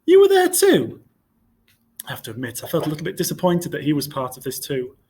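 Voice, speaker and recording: A man reading a storybook aloud in expressive narration, like a teacher to a class. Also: mid-range voice, very fast, slight background noise.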